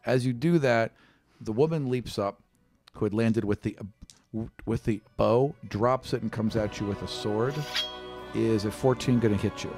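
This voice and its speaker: A man's voice: fairly low pitch, moderate pace, a little mellow, faint music behind. A man working as game master, improvising tabletop game narration in a conversational way and describing scenes directly to the player.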